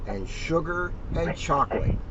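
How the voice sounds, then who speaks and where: A man's voice: on the high side, lively intonation, measured pace, recorded from a distance, lo-fi sound, a crowd in the background. Man playing a raised-voice, instructing father frog. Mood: helpful instructing. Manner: instructing, calling out. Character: helpful adult father frog, calling out instructions.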